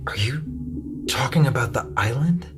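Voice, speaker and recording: An obsessive, volatile drugged scientist. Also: female voice, extremely low pitch, lively intonation. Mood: frightened, confused. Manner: halting, emotional, swinging between dread and fervour. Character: drugged, obsessive scientist, frightened yet grandiose. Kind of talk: audio drama acting. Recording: music under the voice.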